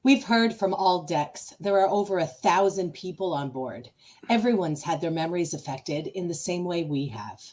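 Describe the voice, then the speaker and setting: Woman as starship officer reporting, lower voice, matter of fact, radio play. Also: animated, moderate pace, darkish tone, slight background noise, natural voice. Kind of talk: amateur podcast radio play reenactment.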